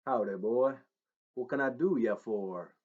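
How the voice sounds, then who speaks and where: A man's voice: medium pitch, unhurried, a little mellow, natural voice. A man voicing a paunchy middle-aged driver who claims to be Elvis, crooning with a put-on Southern twang. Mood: crooning swagger. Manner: crooning, swaggering, conspiratorial. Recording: slightly dull recording.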